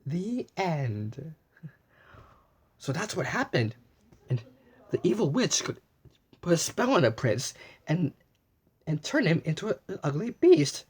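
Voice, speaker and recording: A man's voice: on the high side, measured pace, long pauses, slightly rough. A man reading a storybook aloud as a storyteller, gasping, stumbling over words and adding his own comments along the way. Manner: expressive, gasps and chimes in with his own asides.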